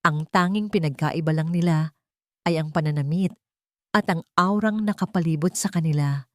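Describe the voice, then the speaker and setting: Female story narrator, slightly low register, dry recording, scripted dramatic delivery. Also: moderate pace, dry recording.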